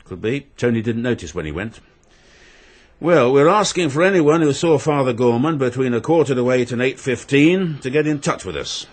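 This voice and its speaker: An actor playing a thoughtful police inspector, measured and dryly humorous, in a radio drama. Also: male voice, medium pitch, expressive, natural voice.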